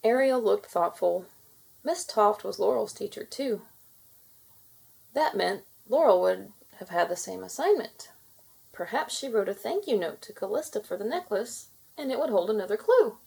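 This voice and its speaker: Expressive woman reading a children's story. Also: medium pitch, moderate pace, creaky, husky, low-quality recording. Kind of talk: expressive read-aloud narration for kids.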